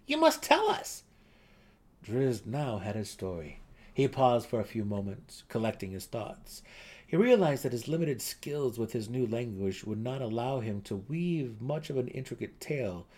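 A man's voice: on the low side, dark tone, husky. A man reading a fantasy novel aloud as a dramatic narrator. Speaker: audiobook narrator.

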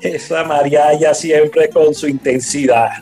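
A man's voice: a little high, steady tone, measured pace, mellow, faint music behind. A man acting a folksy, loyal working man with a colloquial Venezuelan accent in a radio soap opera. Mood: eager.

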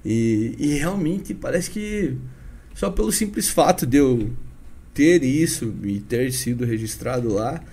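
This is a chatty man in his thirties with a dark, mellow tone and a Paraná interior Brazilian Portuguese accent. He tells stories as a podcast guest with an animated, informal delivery, full of "cara" and "né" tags. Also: mid-range voice, a little slow, breathy edge. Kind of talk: casual storytelling interview.

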